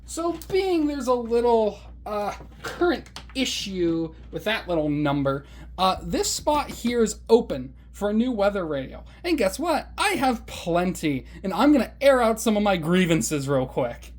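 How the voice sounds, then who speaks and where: A man with a high voice, casually showing his hobby collection in a sarcastic, exasperated, exaggerating rant. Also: big pitch swings, measured pace. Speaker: hobbyist video host. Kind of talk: casual show-and-tell rant.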